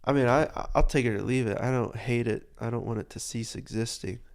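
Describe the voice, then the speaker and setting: A man's voice: mid-range voice, a little fast, gravelly. A young man co-hosting a podcast in casual chat, sounding nasal from a cold, with a teasing, playful way of talking. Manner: teasing, playful, drifts off into asides. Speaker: podcast co-host.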